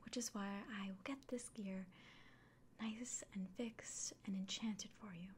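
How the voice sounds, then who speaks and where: Woman playing a whispering, slow-talking kindly fantasy innkeeper. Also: mid-range voice, steady tone, a little hiss or hum, lightly acted. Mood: caring, cautionary. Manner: gentle, reassuring, unhurried. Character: kindly, caring female fantasy innkeeper giving a quest. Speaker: ASMR roleplayer. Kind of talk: whispered ASMR fantasy roleplay.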